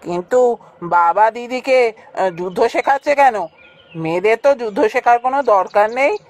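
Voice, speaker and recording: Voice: mid-range voice, lively intonation, normal speed, natural voice. An actor voicing a jealous, whiny boy prince in a fairytale cartoon, with a petulant, complaining delivery. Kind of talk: Bengali fairytale cartoon voice acting.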